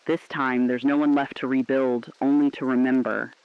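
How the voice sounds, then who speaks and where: A man's voice: mid-range voice, little pitch movement, normal speed, clean tone, no room sound, phone-quality sound, natural voice. A man playing a mournful but defiant last radio broadcaster, speaking solemn, earnest, poetic lines in a scripted drama. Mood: tender, defiant.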